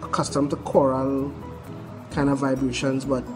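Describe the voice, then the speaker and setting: A man who is a singer-songwriter, rambling in a relaxed way in an interview. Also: medium pitch, moderate pace, music in the background. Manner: relaxed, rambling, uses fillers. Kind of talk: casual interview.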